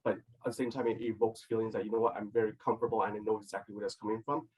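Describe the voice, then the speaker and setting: A man talks through his answers in an easygoing, rambling way, leaning on fillers. His voice is lowish and a little husky. He sounds slightly distant from the microphone. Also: little pitch movement, racing, a little mellow. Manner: loose, filler-heavy talk with many "like" and "you know". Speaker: chef and restaurant co-owner. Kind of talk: casual interview answers.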